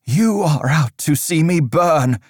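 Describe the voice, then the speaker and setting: A man's voice: fairly high pitch, expressive, slow pace, husky, no echo, clean studio sound, voice pushed up, exaggerated voice. A man voicing a desperate young monk who pleads and grows menacing. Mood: spiteful.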